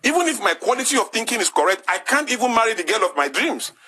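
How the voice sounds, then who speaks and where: A man's voice: high voice, sing-song, quick pace, pushed and bright. A man with a Nigerian English accent making an impassioned talk-show argument, emphatic and rhetorical, repeating his key phrases. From southwest Nigeria.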